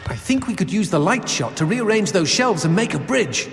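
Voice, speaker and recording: A witty demon companion in a video game, pitched high and swooping expressively. He talks with a bawdy, quippy, theatrical flourish, and his voice rings in a long hall reverb. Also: big pitch swings, moderate pace, music in the background. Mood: wry. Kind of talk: video game character acting.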